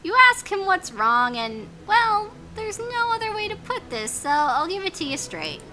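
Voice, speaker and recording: Excitable, bright, high-pitched geeky woman. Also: normal speed. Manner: excitable, geeky, self-mocking. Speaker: gaming channel player reading out a story game. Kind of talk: playful Let's Play reading with joking asides. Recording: music playing behind the voice.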